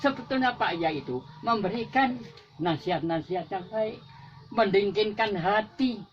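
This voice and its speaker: Give me an old Javanese veteran's impassioned speech. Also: male voice, sits high, animated, moderate pace, slight background noise. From Java. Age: older. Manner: preaching, repetitive exhortation, rising to emphatic peaks. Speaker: independence war veteran. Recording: dull lo-fi recording with faint music.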